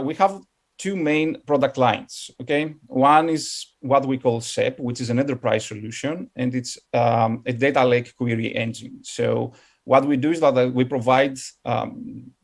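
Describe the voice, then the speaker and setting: A man with a Greek accent presents informally at a tech meetup. His mid-pitched voice has a noticeable gravelly fry. He talks haltingly, leaning heavily on filler words as he explains things. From Greece. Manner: hesitant, halting delivery with constant "like" and "um". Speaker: guest speaker, tech company product professional.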